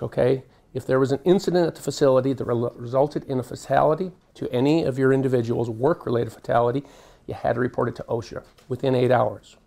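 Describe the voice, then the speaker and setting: Booming, gravelly male safety presenter. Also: darkish tone. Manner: booming, jokey and conversational. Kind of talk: live workshop presentation. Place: meeting room with an audience.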